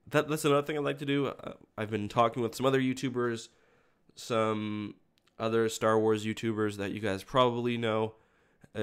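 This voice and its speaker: A man with a fairly low, smooth voice, a little congested from a cold. He talks casually as a streamer addressing his viewers. His phrasing rambles and doubles back as he corrects himself. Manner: rambling, self-correcting, stop-start phrasing. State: has a cold, sniffly congested voice. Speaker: video creator hosting a livestream. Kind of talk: casual livestream chat with viewers.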